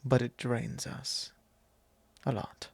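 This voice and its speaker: A courteous vampire lord, played by a man, confides softly in an ASMR roleplay. His voice has a gravelly creak and moves very slowly, in formal, hesitant phrases. It is solemn and tender, very close and dry on the microphone. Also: mid-range voice, little pitch movement, dry recording. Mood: solemn, confiding. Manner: measured, formal, hesitant phrasing with soft breathy drops. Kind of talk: ASMR roleplay, soft intimate monologue.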